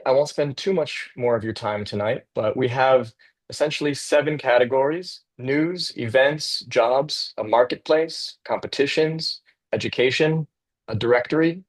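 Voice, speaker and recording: A man's voice: medium pitch, a little slow. A man from the United States, presenting as a guest architect over a video call in a casual, enthusiastic way with some fillers.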